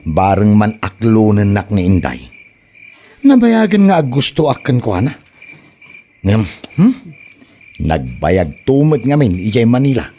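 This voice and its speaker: A man's voice: on the low side, wildly swooping pitch, measured pace, pauses often, dark tone, full character voice. Man as lovesick young suitor, Ilocano accent, eager, easily startled, hugely varied intonation, comedy audio drama. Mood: eager, hopeful.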